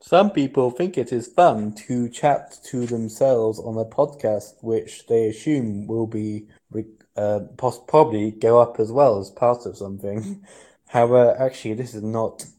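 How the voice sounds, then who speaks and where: A man co-hosting a podcast in rambling casual chat, halting and full of restarts. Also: mid-range voice, mellow. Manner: halting, many restarts and fillers. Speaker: podcast co-host. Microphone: headset.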